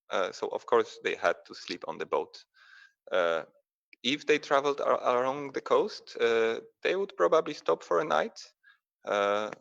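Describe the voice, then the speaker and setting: A man from Poland, a museum sailor answering live questions in a halting, plain-spoken way with many fillers. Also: mid-range voice, vocal fry, a bit bright. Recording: muffled lo-fi stream audio.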